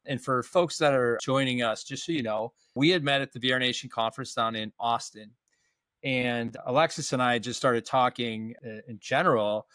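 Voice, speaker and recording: A man's voice: mid-range voice, normal speed, fairly bright tone, dry recording. Chatty fifty-something male podcast host. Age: 50s. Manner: chatty, rambling with frequent restarts. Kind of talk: casual interview chat.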